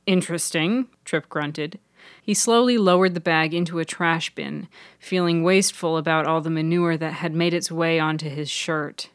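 A woman reading scripted fiction as narrator, measured and articulate. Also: deep voice, steady tone, dry recording, studio quality. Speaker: author and narrator.

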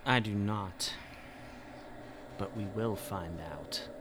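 A man's voice: lowish voice, takes it slowly, background noise. A man talking casually as a player in an audio role-playing game.

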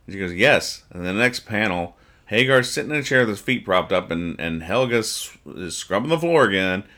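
A man hosting a podcast, giving casual comic commentary with mock-serious, exasperated deadpan nitpicking. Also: on the low side, a little fast. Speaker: podcast host. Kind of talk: comedic casual commentary reading comic strips aloud.

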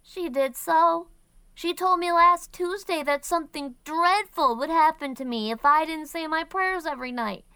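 A woman's voice: very high-pitched, normal speed, sharp tone, dry recording, pitched above the performer's own voice, heavily acted. A woman voicing a curious, stubborn six-year-old boy who asks insistent, aggrieved questions. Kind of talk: audiobook character voice.

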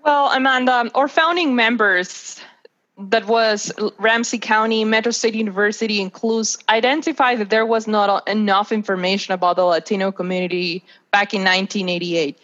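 A woman's voice: medium pitch, steady tone, normal speed, fairly bright tone. A woman in her twenties from Mexico, a native Spanish speaker with a Mexican accent, giving prepared interview answers as a podcast guest. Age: 20s. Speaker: guest, nonprofit research associate. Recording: dull lo-fi video call.

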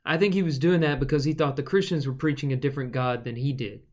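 A man's voice: medium pitch, steady tone, fast talker, a little mellow. Thoughtful man musing on scripture. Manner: thoughtful, rambling, jokey asides. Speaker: podcast co-host. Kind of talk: casual Bible study discussion.

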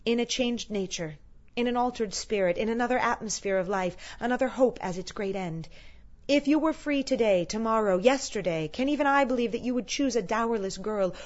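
A woman voicing a gentle, sorrowful young woman in a storybook, soft, measured and quietly resolute. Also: on the high side, dull audio, natural voice. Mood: sad, resolute.

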